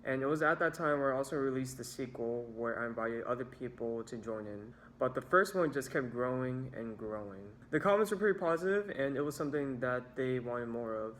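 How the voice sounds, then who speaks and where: A man's voice: mid-range voice, a little fast, hardly any pauses, fairly bright tone, slight background noise. A young man narrating a scripted storytime about his own experiences as a video creator. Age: young adult.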